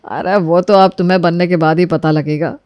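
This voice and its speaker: A woman's voice: on the low side, steady tone, normal speed, no room sound, dull audio, lightly acted. Obliging playful young husband, played by a woman. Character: easygoing, eager-to-please young husband. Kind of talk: dramatized Hindi moral story acting.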